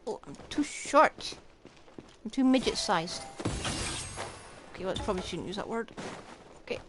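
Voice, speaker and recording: Animated woman streaming a game. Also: mid-range voice, normal speed, background noise. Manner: thinking aloud, blunt remarks. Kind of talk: live gameplay commentary.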